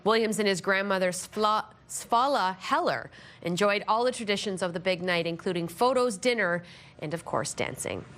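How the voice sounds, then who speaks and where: A woman's voice: medium pitch, moderate pace, fairly bright tone. A woman reading scripted local news as the anchor.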